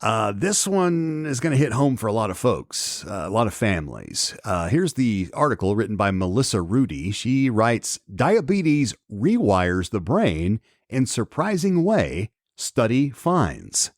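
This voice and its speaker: Male podcast host, slightly hoarse, animated pitch, dry close sound, conversational with restarts. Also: measured pace, few pauses, dry recording. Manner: conversational, repeats words and restarts, frequent ums. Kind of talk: solo podcast commentary, reading news aloud.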